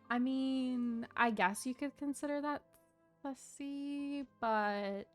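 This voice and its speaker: A woman's voice: high-pitched, expressive, extremely slow, soft background music. A woman giving casual live stream commentary on a game, chatting in a gushing, giggly, informal way.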